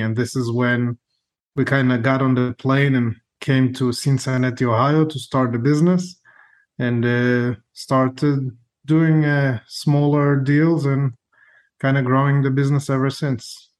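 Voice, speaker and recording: A man's voice: medium pitch, flat delivery, a little slow. A man with an Israeli accent telling his story conversationally as a podcast guest. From Israel, born in Ukraine. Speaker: podcast guest, real estate investor.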